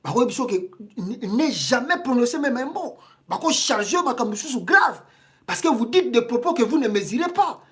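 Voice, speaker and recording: A woman's voice: a little high, sing-song, moderate pace. A woman from Congo with a Congolese French accent, giving impassioned political commentary in a video, indignant and full of rhetorical questions. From Congo (DRC).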